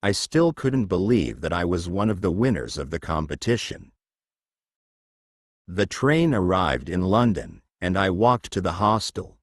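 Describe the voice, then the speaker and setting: A man reading a children's textbook story as scripted narration, in a measured, clear manner meant for learners. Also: low-pitched, big pitch swings, dry recording, clean studio sound.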